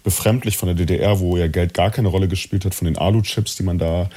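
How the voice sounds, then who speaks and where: A man from East Germany telling personal stories as an interview guest, reflective and halting, often repeating words. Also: low-pitched, fairly even intonation, dark tone, husky. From born in East Germany, partly raised in Chile. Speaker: interview guest, actor and coach. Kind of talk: personal storytelling in conversation.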